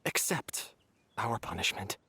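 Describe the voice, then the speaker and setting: A man voicing a resigned, contrite young member of a secret house in a video game, with a husky voice. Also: lowish voice, very animated, unhurried, pushed and bright, a little hiss or hum, heavily acted.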